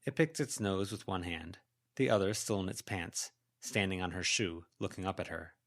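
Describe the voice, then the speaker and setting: A man with a fairly low voice narrates a fantasy story. He reads from a script as a volunteer audiobook narrator. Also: normal speed, fairly bright tone.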